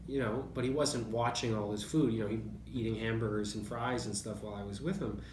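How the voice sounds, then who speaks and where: A man speaking as an interview guest in a thoughtful, measured, anecdotal way, telling stories conversationally about sport. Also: medium pitch. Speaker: interview guest, boxing writer. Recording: roomy, distant mic, noticeable background noise.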